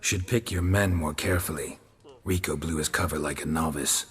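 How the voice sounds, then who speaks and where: An actor playing a gruff, taciturn monster hunter in a video game, terse and deadpan with a gravelly low voice. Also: male voice, normal speed. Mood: dry, guarded. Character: gruff, taciturn male mercenary monster hunter, wry and guarded. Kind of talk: video game dialogue acting.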